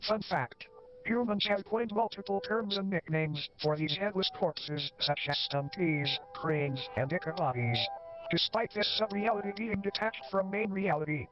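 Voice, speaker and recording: An actor playing a wry, eerie male narrator, reading a scripted horror documentary in a measured, formal way. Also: high voice, natural voice. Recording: band-limited lo-fi sound, loud music behind. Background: music.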